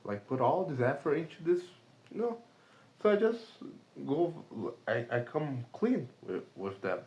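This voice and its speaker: A man's voice: a little high, sing-song, unhurried, darkish tone. Brazilian man rambling with a Portuguese accent. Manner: rambling, lots of "like" fillers and swearing. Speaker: remote sales rep. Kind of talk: casual vlog monologue.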